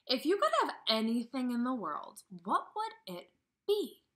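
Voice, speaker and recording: Woman voicing an eager, animated storybook fox. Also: high-pitched, measured pace, full character voice. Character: excited, scheming male storybook fox.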